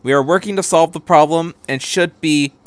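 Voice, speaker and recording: A man's voice: a little high, normal speed, no room sound, pitched above the performer's own voice, close to an everyday voice. A man voicing a male train driver making an official, formal announcement in a storybook reading.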